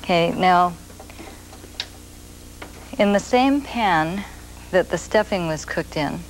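A woman in her forties hosting a TV cooking show, explaining a recipe in a slow, gentle, soft-spoken way from a studio kitchen set. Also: on the low side, lively intonation. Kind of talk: TV cooking demonstration. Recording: grainy 1980s videotape with noise.

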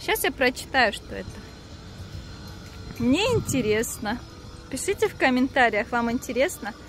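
High-voiced chatty young mother. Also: lively intonation, normal speed, fairly bright tone, soft background music. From Dagestan. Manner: chatty, laughs often, talks to viewers. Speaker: family vlogger, mother. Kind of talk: casual family vlog chat. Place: outdoor park, later inside a car.

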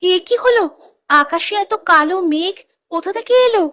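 A woman's voice: extremely high pitch, lively intonation, moderate pace, fairly bright tone, no echo, studio quality. A woman voicing an anxious, fretful wife of a poor farmer in a cartoon, given to exclamations. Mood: alarmed, worried. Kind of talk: Bengali cartoon voice acting.

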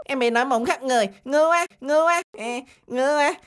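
A woman's voice: high-pitched, close to an everyday voice. Woman as a mischievous whiny little cartoon boy. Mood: whiny, miserable. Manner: exclamatory, whiny, drawn-out grunts and cries. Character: mischievous, grubby little boy pencil, stubborn and playful. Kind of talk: children's animated cartoon dubbing.

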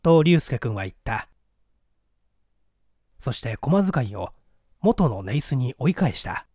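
A man's voice: medium pitch, sing-song, measured pace, dark tone, breathy edge, dry recording, studio quality. A man narrating a mystery story from a script as an audiobook reader.

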